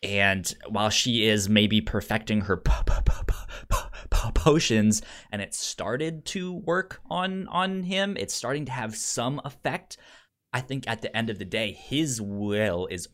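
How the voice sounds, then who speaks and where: Guy podcast host w a stutter, fairly low voice, halting, casual film talk. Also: a bit bright. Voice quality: stutter, repeated syllables. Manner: halting, thinking aloud. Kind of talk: casual conversational film discussion.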